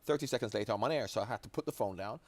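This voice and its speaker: A man's voice: fairly low pitch, rapid-fire, darkish tone, no room sound. A man in his forties from Jamaica, a former footballer chatting as an interview guest, telling long stories with restarts and asides. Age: 40s. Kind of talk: relaxed interview chat.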